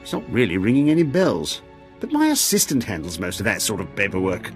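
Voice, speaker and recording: An actor voicing a pompous, cowardly, pampered Imperial official in a video game, with a fussy, self-absorbed and haughty manner. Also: male voice, fairly low pitch, huge pitch swings, a little fast, soft background music. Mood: blithe, haughty. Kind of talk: comic video game acting.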